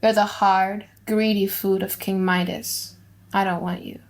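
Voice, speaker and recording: An earnest young suitor, played by a woman in a dramatic audiobook. The lines come in short, emphatic phrases with deliberate pauses. The pitch sits in a middle range. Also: level tone, natural voice. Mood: resolute. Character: earnest young male suitor musing gravely, then smitten. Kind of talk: dramatic audiobook reading in modern English.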